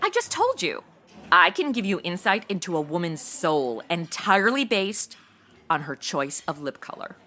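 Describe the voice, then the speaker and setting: A woman's voice: fairly low pitch, big pitch swings, moderate pace, pushed and bright, faint music behind, heavily acted. A woman playing a bubbly, ditzy young insurance seller in a comedy audio drama, with flippant, slangy Valley-girl chatter. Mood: breezy, candid.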